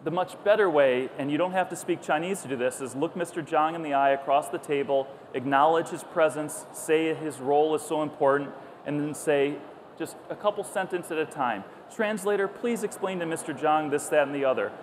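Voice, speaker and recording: A man's voice: a little high, brisk. A middle-aged man giving a conversational public talk full of anecdotes, speaking into a lapel mic on a stage. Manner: conversational, animated storytelling, acts out dialogue. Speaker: company founder, conference speaker. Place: stage at a busy trade show hall. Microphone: clipped lapel mic. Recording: clear background noise of event hall.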